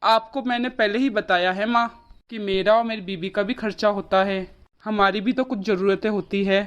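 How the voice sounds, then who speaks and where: A woman's voice: medium pitch, fairly even intonation, normal speed, highs cut off, lightly acted. A woman voicing a selfish adult son in a cartoon story, self-justifying and doting on his wife, on band-limited audio. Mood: irritated.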